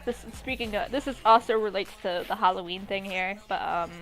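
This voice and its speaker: A woman's voice: mid-range voice, brisk, fairly bright tone, poor audio. A young woman casually reading and mocking fan fiction, with an irreverent, sweary, giggly manner. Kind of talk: casual commentary on a read-aloud story. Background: background music.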